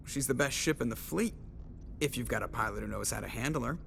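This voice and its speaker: A man's voice: mid-range voice, fast, creaky, a bit bright, slight background noise. A man acting a cocky, wisecracking starship pilot in a science fiction video game, casual and boastful.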